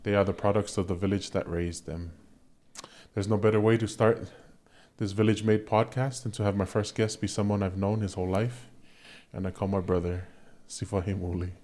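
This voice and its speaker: Man, podcast host, scripted intro then direct questions, low voice, mellow tone. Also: level tone, moderate pace. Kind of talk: podcast interview.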